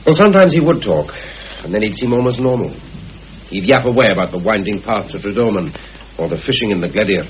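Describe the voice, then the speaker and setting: Frank, devoted, slightly defensive man played by an actor, lower voice, muffled vintage radio drama. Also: lively intonation, fast, lightly acted. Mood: earnest. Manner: frank, earnest, emphatic. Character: earnest, defensive bachelor devoted to a widow. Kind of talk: vintage radio play acting. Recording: dull, hissy old radio drama recording.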